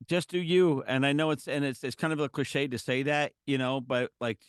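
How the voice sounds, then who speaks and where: A man's voice: fairly even intonation, moderate pace, clean tone, dry recording, clean studio sound. A middle-aged man, a musician guest, chatting casually with lots of fillers and restarts. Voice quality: low singing voice. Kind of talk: casual interview chat.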